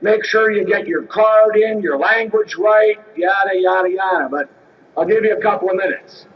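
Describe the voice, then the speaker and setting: High-voiced man chairing a convention. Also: slight background noise. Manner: brisk, informal, firm with the floor. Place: large convention hall. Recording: distant, dull hall audio.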